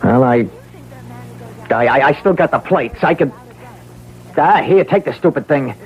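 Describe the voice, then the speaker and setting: Tough, sneering crook, tinny sound. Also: male voice, medium pitch, animated, unhurried. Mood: rattled. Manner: tough, sarcastic, slangy. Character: greedy, sneering, menacing male gunman crook. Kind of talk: classic radio mystery acting. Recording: tinny, narrow-band old radio broadcast.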